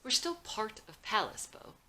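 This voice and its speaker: A woman's voice: fairly high pitch, big pitch swings, moderate pace, bright, forceful tone, a little hiss or hum, pitched above the performer's own voice, full character voice. A woman voicing an earnest, idealistic and insistent young dreamer in a dramatic script reading. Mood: defiant.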